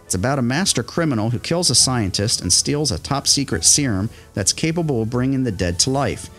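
A man reading a scripted history in an even middle register, steady and informative. Faint music plays under his voice. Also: mid-range voice, a little fast. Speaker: podcast host and radio historian. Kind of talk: scripted narration.

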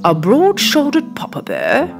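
A woman's voice: high voice, huge pitch swings, unhurried, gravelly. A woman narrating a scripted children's bedtime story as the storyteller. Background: background music.

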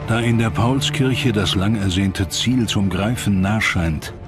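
A man narrating a scripted history documentary in a measured, dramatic storytelling manner. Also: fairly low pitch. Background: music.

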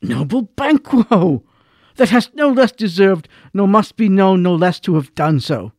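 A man plays an affectionate, gracious monarch in a Shakespearean radio drama. His high male voice is regal and effusive, with a dry, close sound. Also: lively intonation, a little slow, a little mellow, no room sound. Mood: grateful, affectionate.

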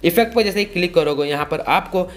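A man's voice: sits high, quick pace, faint music behind. A man, giving a casual, repetitive step-by-step tutorial. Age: 20s. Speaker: tutorial host. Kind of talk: casual video-editing tutorial.

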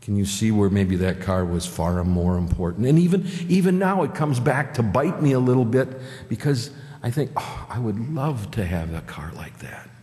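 A man's voice: fairly low pitch, normal speed, warm tone. A man in his fifties with an Upper Midwest American accent, preaching a conversational sermon from a pulpit mic and asking the congregation questions. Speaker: pastor. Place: church sanctuary, at a pulpit. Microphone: pulpit microphone. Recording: church hall reverb.

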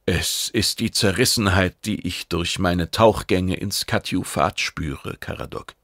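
A man reads as a cheeky oracle page who is secretly a spy in a science fiction story. He sounds calm, formal and probing, with a low, gravelly voice recorded very dry. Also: measured pace, dry recording, heavily acted. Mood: earnest, yearning. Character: shape-changing spy posing as eager, cheeky oracle page.

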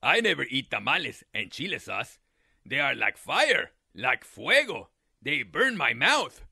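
Sly, gravelly storybook coyote villain. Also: male voice, mid-range voice, very animated, pushed and bright, no echo, studio quality, voice pushed down, heavily acted. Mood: snappish. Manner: slow, menacing, drawn-out growly delivery.

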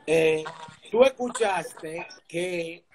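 A man's voice: fairly high pitch, very slow, a little mellow, a little hiss or hum. A middle-aged man with a Dominican accent hosting a casual sports interview over a video call, with informal, slangy talk. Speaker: interviewer, show host. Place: indoor room, remote video call. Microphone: video call, device at arm's length.

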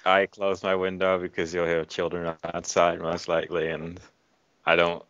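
A man from the Netherlands chatting casually and haltingly, on a slightly dull recording. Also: deep voice, completely flat delivery, fairly bright tone, no room sound. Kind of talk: casual chat.

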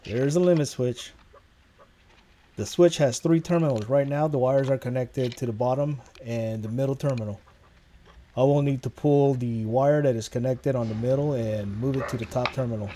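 A man's voice: mid-range voice, moderate pace, mellow, slight background noise. A man hosting a home workshop channel, narrating a casual hands-on tutorial. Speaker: host of a DIY machining channel.

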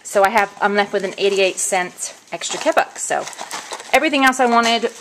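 A woman's voice: mid-range voice, moderate pace, fairly bright tone. A woman in a casual vlog chatting through her shopping haul at home, listing items, coupons and prices. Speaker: vlogger showing a shopping haul. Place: home, indoors. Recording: noisy home video with bag crinkling. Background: crinkling bags and clicks.

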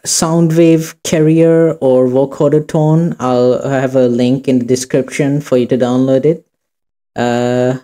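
A man hosting an informal software tutorial, talking casually and hesitantly as he goes. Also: mid-range voice. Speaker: tutorial host. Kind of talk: informal screen-recorded tutorial.

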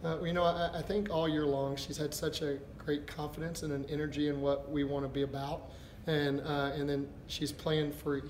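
A middle-aged man, a basketball head coach, answering postgame press questions in a halting, thinking-aloud way. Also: on the high side, flat delivery, darkish tone. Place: indoors before a backdrop, a step from camera. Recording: echoey room with background noise.

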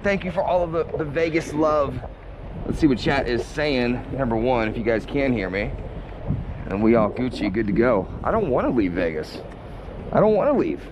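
A man's voice: mid-range voice, expressive, moderate pace, noisy background. A young man live streaming as he walks a city street and then a big casino, greeting viewers and reading their comments aloud. His voice is wearing out, and he talks into a camera mounted on his backpack. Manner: upbeat, greets chat viewers by name, reads comments aloud. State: voice getting worn out. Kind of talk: casual live-stream chat while walking. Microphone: body-worn camera mounted on a backpack.

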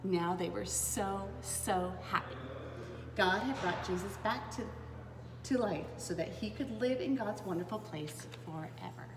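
A woman in her thirties reading a children's Bible story aloud to preschoolers in an animated, dramatic way. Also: medium pitch, a little slow. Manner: animated and dramatic, with gasps, hushed asides and questions to young listeners. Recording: roomy, echoing space with clear background noise.

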